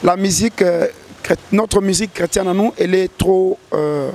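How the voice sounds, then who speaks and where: Earnest high-voiced gospel singer. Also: male voice, normal speed, a little mellow, a little hiss or hum. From DR Congo. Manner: earnest, hesitant with repeated restarts. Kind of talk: interview answers.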